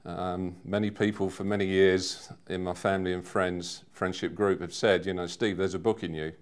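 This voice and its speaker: A man in his fifties giving a personal testimonial in a measured, earnest way. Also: low voice, flat delivery. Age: 50s. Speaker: seminar attendee giving a testimonial.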